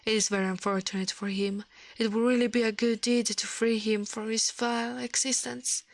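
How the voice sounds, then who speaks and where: A woman's voice: on the high side, level tone, moderate pace, no echo, lightly acted. A woman voicing a proud, credulous princess in a storybook, with a gracious, regal manner.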